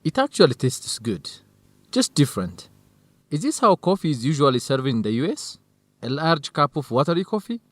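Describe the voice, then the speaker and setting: A man's voice: fairly high pitch, big pitch swings, normal speed, a bit bright, no room sound. An actor playing a polite, fair-minded, optimistic man, earnest and conciliatory, in an educational radio drama. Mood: curious.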